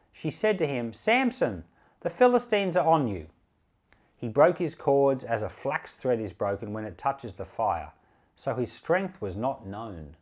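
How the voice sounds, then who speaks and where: A man reading scripture aloud for a podcast in a measured, deliberate, expressive storytelling manner. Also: mid-range voice. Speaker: pastor and Bible reader.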